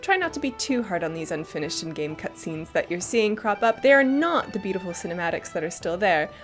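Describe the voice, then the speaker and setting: A woman's voice: lowish voice, very animated, brisk, soft background music. A woman giving chatty, enthusiastic and emphatic scripted commentary as the host of a gaming news show.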